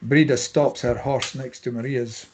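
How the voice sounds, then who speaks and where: A man's voice: medium pitch, steady tone, a little slow, a crowd in the background. A man narrating a screenplay, reading scene headings and stage directions at a table read.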